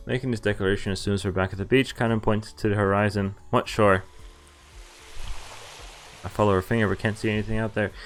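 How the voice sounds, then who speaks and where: Low-pitched man reading a visual novel aloud. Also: steady tone, fast, long pauses. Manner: mixes read-aloud narration with offhand reactions and asides. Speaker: streamer. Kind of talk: visual novel let's play, reading text aloud with casual commentary. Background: game music.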